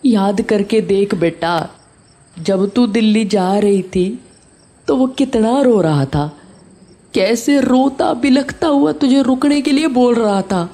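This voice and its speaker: A woman's voice: a little high, dark tone. A woman playing a guilt-ridden older caretaker, mournful and pleading in a horror audio drama. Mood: mournful reproach. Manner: mournful, regretful, halting.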